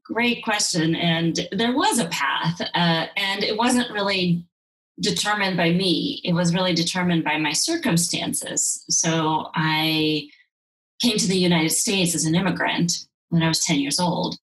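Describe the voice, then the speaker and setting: A woman's voice: fairly low pitch, a little slow, fairly bright tone, low-quality recording. A woman in her forties, raised in Ukraine with Russian as her first language, speaking thoughtfully with restarts on a video call from home. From Ukraine, then Soviet Union. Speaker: guest, sex and intimacy coach. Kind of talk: candid interview. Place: home room, indoors.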